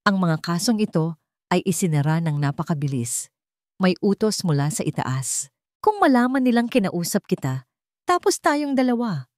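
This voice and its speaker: A woman's voice: lowish voice, very animated, normal speed, darkish tone, no echo. A wary old Filipino policeman , acted out by a woman narrator in an audio story. He warns cautiously and urgently, fearful of being caught, in a dry, close recording. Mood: anxious warning.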